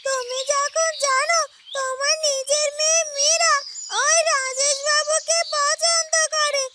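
A woman voicing a shrill, whiny young female character in a cartoon, given to exclaiming. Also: fairly even intonation, takes it slowly. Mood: indignant, hurt. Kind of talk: cartoon dubbing.

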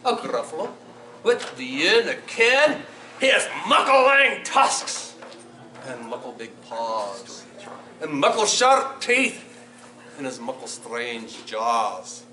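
A man's voice: medium pitch, wildly swooping pitch, takes it slowly, sharp tone, pitched below the performer's own voice, heavily acted. A man as a cheeky little storybook mouse, echoey. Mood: polite, boastful. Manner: speaking in Scots dialect. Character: small cheeky boastful brown mouse. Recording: echoey room, faint noise.

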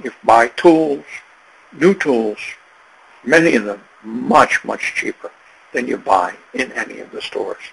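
A man narrating a travel video in a slow, unhurried, plain-spoken voice-over. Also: medium pitch, expressive, vocal fry, fairly bright tone, husky, rough recording.

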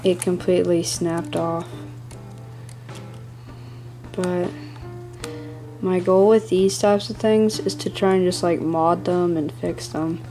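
A hobbyist giving a casual, rambling show-and-tell in a small room at home, speaking haltingly near a handheld camera. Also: mid-range voice, steady tone, mellow, faint music behind. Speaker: hobbyist presenting a radio collection. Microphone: handheld camera close by.

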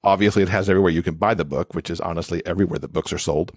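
A man's voice: deep voice, rapid-fire, no room sound, clean studio sound. A man talking casually and enthusiastically as a guest on a podcast. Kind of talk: casual chat.

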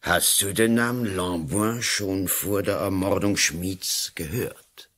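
An actor playing a pompous, cynical colonel and politician who lectures emphatically in a radio play. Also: male voice, sits low, takes it slowly, fairly bright tone, full character voice. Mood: impatient, domineering. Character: blustering, cynical male colonel and lawyer-politician. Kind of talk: German-language radio play acting.

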